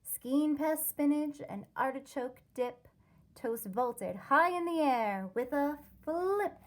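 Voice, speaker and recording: A woman teacher reading a rhyming children's story aloud, expressively and with deliberate, careful wording. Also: high voice, expressive.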